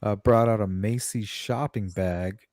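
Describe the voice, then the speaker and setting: A woman's voice: a bass voice, unhurried, a little mellow, dry recording, clean studio sound. A woman hosting a casual livestream chat, thinking aloud as she goes. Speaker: host.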